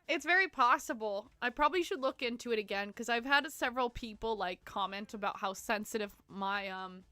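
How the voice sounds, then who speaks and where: Congested young woman streamer, bright voice. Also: mid-range voice, no room sound. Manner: chatty and giggly, many fillers. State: congested with a cold. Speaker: game streamer. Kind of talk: casual live-stream gameplay chat.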